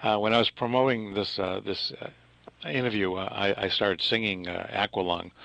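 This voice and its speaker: A man's voice: lowish voice, steady tone, normal speed, vocal fry, pushed and bright, dry recording. A man hosting a radio interview, chatty and informal with hesitant fillers. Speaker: radio host.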